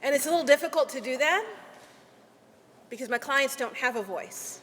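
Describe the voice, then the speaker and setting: A woman attorney delivers a prepared speech at a ceremony, earnest and deliberate, with measured pauses. Her voice sits fairly high. It rings out in a large hall with a long reverb tail. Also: lively intonation, fairly bright tone. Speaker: legal aid attorney.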